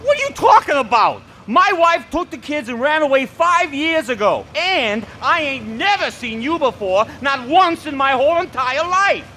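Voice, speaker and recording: An actor playing a wary working-class taxi driver, gruff and defensive, in a radio play. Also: male voice, very high-pitched, sing-song, moderate pace, few pauses, pushed and bright, slight background noise, exaggerated voice.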